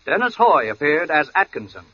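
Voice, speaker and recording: A man's voice: on the high side, big pitch swings, moderate pace, heavy background noise. A man announcing formally and dramatically in scripted 1940s radio style. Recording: muffled old 1940s radio broadcast. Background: loud orchestral music.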